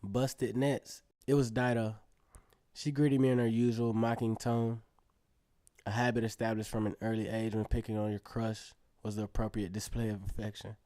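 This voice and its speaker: Man acting earnest teen boy, radio drama narrator, lowish pitch, dry close sound, conversational, reflective. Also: level tone, moderate pace, dark tone, no echo, natural voice. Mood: wry, reflective. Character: earnest teenage boy acrobat narrating his story. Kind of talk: first-person narration in an Afrofuturist radio drama.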